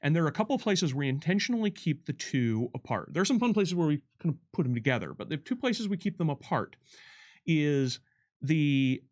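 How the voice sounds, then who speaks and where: Man explaining in halting, casual style. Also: on the high side, sing-song, a little mellow. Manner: explanatory, halting, thinking aloud. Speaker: game developer on a livestream panel. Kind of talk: casual discussion answering viewer questions.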